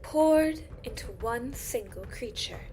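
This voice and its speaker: A woman playing a solemn, ancient lore-keeper who gravely tells old tales in a fantasy audio drama. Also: on the high side, expressive, takes it slowly, darkish tone. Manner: grave, storytelling. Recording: music behind the voice.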